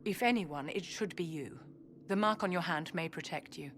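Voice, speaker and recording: A woman plays a disdainful court enchantress in a fantasy game. She speaks in a lowish, poised voice with condescending bite, over faint background noise. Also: normal speed, natural voice. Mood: poised, advising. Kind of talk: dramatic fantasy game acting.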